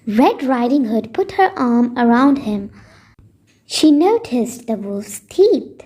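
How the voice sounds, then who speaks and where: Slow, husky woman storyteller. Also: fairly high pitch, big pitch swings, warm tone. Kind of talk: scripted bedtime story narration for children.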